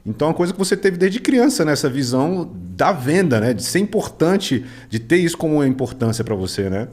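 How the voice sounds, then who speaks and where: A man's voice: fairly high pitch, sing-song, fast, a little mellow. A Brazilian man hosting a podcast in casual chat, often tagging his points with little questions seeking agreement. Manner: constantly checks agreement with short tag questions. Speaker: podcast host.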